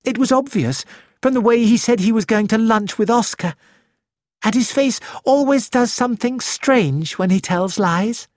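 A woman's voice: on the high side, normal speed, darkish tone, no echo, clean studio sound, close to an everyday voice. A woman reading the part of a cheerful, frank young actress who speaks breezily and bluntly. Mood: breezy. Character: flighty, self-assured young actress wife.

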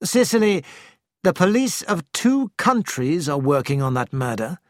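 Brief: woman reader doing a composed young lord, measured and cool, slow, dry close mic. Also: fairly high pitch, sing-song, no echo. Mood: cool, reproachful. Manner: measured and quiet, cool and reasoning. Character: calm, steady young English lord, patient husband.

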